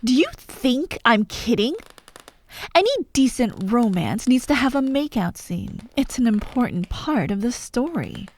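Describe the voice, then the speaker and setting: A woman's voice: fairly high pitch, animated, measured pace, a little mellow. A woman playing a vengeful fired actress holding hostages in an ASMR audio drama, taunting and theatrical, often dropping to a whisper. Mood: impatient, demanding. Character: vengeful fired actress, sadistic hostage-taker directing victims.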